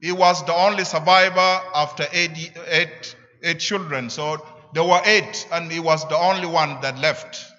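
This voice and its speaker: A middle-aged man with a South Sudanese accent preaches a sermon deliberately, in short emphatic phrases, at a church lectern. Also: fairly high pitch, steady tone, bright, forceful tone. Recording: echoing church, slightly dull sound.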